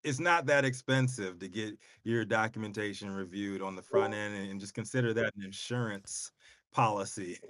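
A man's voice: lowish voice, normal speed, a bit bright, no room sound. A man co-hosting a casual cannabis news show, laid-back and rambling, with casual swearing. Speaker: co-host. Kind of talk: casual podcast chat.

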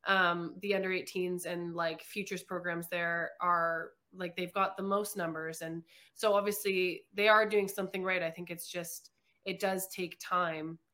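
A woman's voice: on the low side, little pitch movement, measured pace, sharp tone. Chatty young Canadian woman. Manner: chatty, lots of "like" fillers. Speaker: guest. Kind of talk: casual interview chat.

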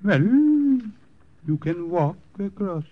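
Older norwegian underground boss, very slow and careful, muffled old radio sound. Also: male voice, sits high, sing-song, full character voice. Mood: dry, measured. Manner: halting, slow, measured. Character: cautious older Norwegian underground leader, dry and deferential. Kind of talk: old-time radio drama acting. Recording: dull old radio recording.

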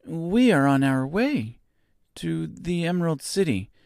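Man voicing a timid little girl, lifted high. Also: sing-song, measured pace, vocal fry, dry recording, clean studio sound, heavily acted. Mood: earnest, friendly. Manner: timid, soft, gentle. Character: timid, kind, softly spoken young girl. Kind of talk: storybook character voice.

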